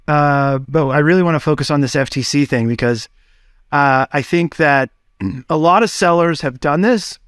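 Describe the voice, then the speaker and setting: A man hosts a business podcast in a lively, chatty way, his voice sitting a little high. He jumps quickly between thoughts with casual fillers. The recording is dry and close, with no room echo. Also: smooth. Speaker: podcast host.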